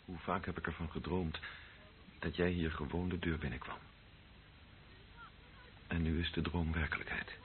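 A man acting an earnest, defiant priest in a Dutch radio play, speaking deliberately. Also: sits low, flat delivery, close to an everyday voice. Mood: tender. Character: defiant, earnest priest, passionate about earthly love. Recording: noisy, narrow phone-like old radio recording.

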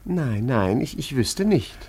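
An actor in a German radio play playing an urbane, evasive art forger who talks hesitantly with polite irony. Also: male voice, medium pitch, sing-song, slow, a little mellow, husky, exaggerated voice. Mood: nervous politeness. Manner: hesitant, stammering, politely ironic.

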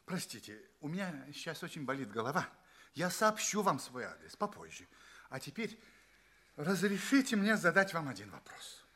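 A man's voice: a little high, big pitch swings, moderate pace, bright, forceful tone, breathy edge, exaggerated voice. An actor playing an anxious, bewildered space traveller in an audio play, politely asking questions and telling his tale theatrically. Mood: polite, curious.

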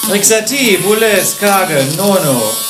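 A man's voice: high voice, animated, slow pace, loud music behind the voice. An actor in a radio play playing a sardonic, self-pitying man with a dry, ironic way of talking. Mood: agitated, lamenting. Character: sardonic, self-pitying lovelorn man narrating his own misery. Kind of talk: radio play narration and dialogue.

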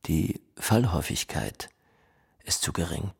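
A detached man of science in a radio play, low and husky. He sets out dry findings in formal at a very slow, deliberate pace. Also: big pitch swings, heavily acted. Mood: detached, clinical. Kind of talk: scripted radio play, mock-scientific statement.

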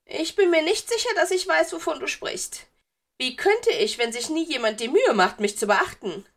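A woman's voice: high voice, expressive, moderate pace, a bit bright, pitched above the performer's own voice. A woman voicing a whiny, self-pitying, lovesick teenage girl ghost in an audiobook, melodramatic and wheedling. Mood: coy, defensive. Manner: sobbing, wheedling, melodramatic complaining. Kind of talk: audiobook character voice.

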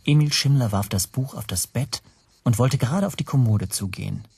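An actor narrating a radio drama in the first person as an anxious language teacher, hushed and tense. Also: male voice, mid-range voice, moderate pace, gravelly, slight background noise, lightly acted. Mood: tense dread. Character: anxious male language teacher recounting his spy troubles.

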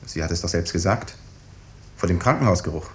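An actor playing a dutiful, thoughtful junior doctor in a 1950s German radio drama, matter-of-fact and deferential. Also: male voice, low voice, a little fast, a little mellow, slight background noise, close to an everyday voice. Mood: earnest explaining.

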